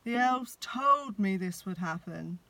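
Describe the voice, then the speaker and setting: Woman putting on a loud, growly, gruff Santa. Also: mid-range voice, lively intonation, takes it slowly, exaggerated voice. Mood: flustered. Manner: loud, bellowing, emphatic. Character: big gruff old Santa Claus, exasperated, stuck in chimney. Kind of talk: children's storybook read-aloud.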